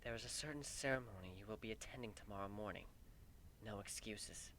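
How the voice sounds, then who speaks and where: A man voicing a smug, regal alicorn princess, calm and commanding, in a fantasy story reading. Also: lowish voice, brisk, slight background noise, pitched below the performer's own voice. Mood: quietly stern.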